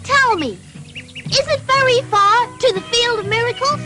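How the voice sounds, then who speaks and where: A woman's voice: extremely high pitch, normal speed, over loud music. A woman voicing an eager, naive little puppet boy in a cartoon, childlike and effusive. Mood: polite, hesitant. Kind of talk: dubbed cartoon acting.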